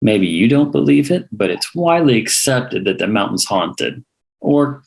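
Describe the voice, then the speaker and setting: A man's voice: medium pitch, animated, unhurried, creaky, low-quality recording. A man reading the part of a skeptical, pushy young hipster journalist in a script table read. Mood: pressing, skeptical.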